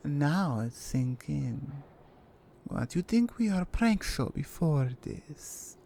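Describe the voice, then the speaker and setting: A woman playing a menacing, seductive Russian mafia captor in an intimate ASMR roleplay, with a Russian accent and a deliberate, controlled delivery. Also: sits low, big pitch swings, gravelly, warm tone, heavily acted. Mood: stern, matter-of-fact.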